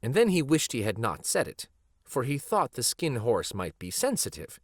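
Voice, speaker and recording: A man narrating a children's storybook aloud in a deliberate, expressive way. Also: medium pitch, no echo, clean studio sound.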